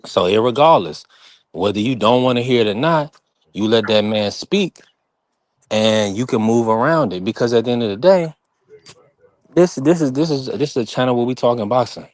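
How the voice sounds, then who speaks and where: A man's voice: mid-range voice, moderate pace, no echo. A man from North Carolina hosting a boxing stream, talking firmly and preachily in a heated casual chat. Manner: firm, preachy, talks over others. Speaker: channel host.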